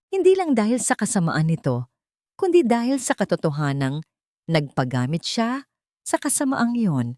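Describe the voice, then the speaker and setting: A woman's voice: low-pitched, darkish tone, dry recording, clean studio sound. A woman with a Filipino accent reading a dramatic love story as a scripted narration.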